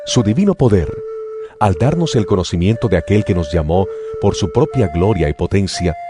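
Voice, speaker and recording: Deep-voiced man reading scripture solemnly. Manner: slow, solemn, expressive delivery. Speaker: scripture reader. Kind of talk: dramatized Bible reading. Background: music.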